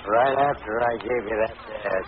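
A man's voice: fairly low pitch, moderate pace, close to an everyday voice. An actor playing a folksy, casually menacing henchman with a drawling rural American accent in an old-time radio drama. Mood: folksy, teasing. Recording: noisy, tinny vintage radio recording.

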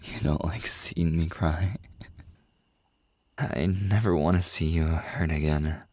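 A man acts out a tender male boss, hopelessly in love, in an intimate ASMR audio roleplay. His voice is very low, husky and breathy. He talks slowly and softly, hesitating between phrases as he opens his heart. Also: no room sound. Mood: loving, intimate. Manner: soft, hesitant, slow with long pauses. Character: tender, lovestruck male boss confessing to an employee.

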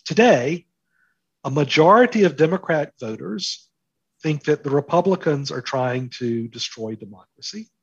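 Husky, higher-pitched man explaining. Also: lively intonation, normal speed, no room sound, muffled recording. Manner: explains with analogies and rhetorical questions. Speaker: interview guest, market and politics commentator. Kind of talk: explanatory interview commentary.

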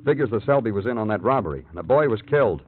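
World-weary big-city cop, American, low, clipped, dramatic; muffled vintage radio sound. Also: male voice, lively intonation, racing, husky. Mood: stern, accusing. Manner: clipped, accusatory, moody dramatic delivery. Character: hard, world-weary male big-city police detective. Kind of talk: 1950s radio crime drama acting. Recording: muffled old radio recording, music behind.

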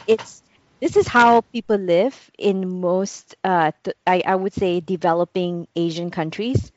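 Hesitant low-voiced woman from Singapore. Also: steady tone, unhurried, darkish tone, a little hiss or hum. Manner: hesitant, many restarts and fillers. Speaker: podcast co-host. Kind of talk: casual chat. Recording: dull lo-fi dry sound.

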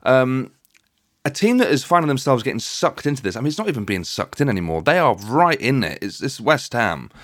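A man's voice: mid-range voice, dry recording, clean studio sound. A man hosting a podcast, chatting casually about football. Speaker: podcast host.

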